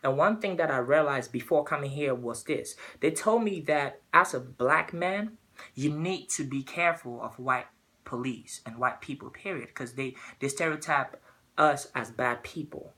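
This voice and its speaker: A man's voice: mid-range voice, moderate pace, pushed and bright. A young man from Ghana giving an earnest persuasive speech, often repeating words as he searches for the next phrase.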